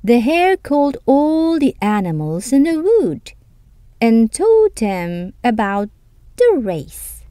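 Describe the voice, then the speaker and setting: Female children's storyteller: highish voice, lively intonation, deliberate phrasing. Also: slight background noise. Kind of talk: scripted children's story narration.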